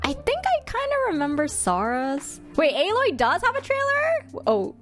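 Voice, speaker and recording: A woman's voice: very high-pitched, wildly swooping pitch, moderate pace, fairly bright tone, soft background music. A woman giving casual, chatty reaction commentary as host, with a playful, exclamatory manner. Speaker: host ranking game trailers.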